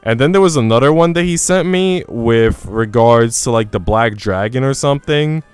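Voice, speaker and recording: A man's voice: mid-range voice, normal speed, a little mellow, clean tone, no room sound. A man streaming casually, chatting and reading in an informal, slangy way. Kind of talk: casual livestream chat and visual novel reading. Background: faint music.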